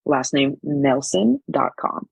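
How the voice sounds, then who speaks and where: A man's voice: mid-range voice, animated, slow pace, gravelly, husky, dry recording. A man chatting casually as an actor and arts educator being interviewed.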